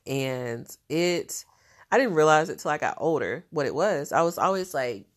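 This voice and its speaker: A woman's voice: deep voice, animated, moderate pace. A woman with a nasal, stuffed-up voice talking in a casual diary vlog, chatty and laughing often. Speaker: vlogger.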